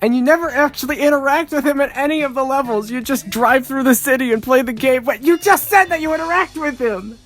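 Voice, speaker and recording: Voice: sits high, brisk, hardly any pauses. A speaker reading a script aloud in a casual, amused way, breaking into laughter and bantering along the way. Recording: background music under the voice.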